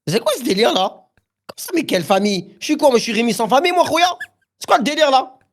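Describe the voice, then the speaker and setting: A man in his thirties talks fast in casual podcast banter. His pitch swings widely as he exclaims and protests. He sounds lively and expressive. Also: slight background noise. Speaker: podcast co-host.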